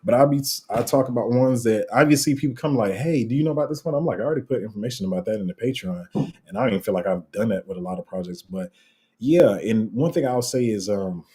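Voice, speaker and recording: A man with a deep, rumbling voice hosting a casual livestream, reading out viewer comments and rambling along with sudden excited jumps in pitch and a slight cough. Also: fast. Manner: rambling and animated, voice jumping up when excited. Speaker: crypto livestream host.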